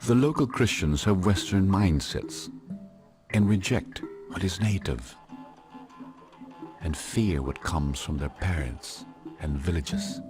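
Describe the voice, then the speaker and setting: A man giving measured, deliberate scripted documentary narration. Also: sits low, animated. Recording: narration over clear background music. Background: instrumental music.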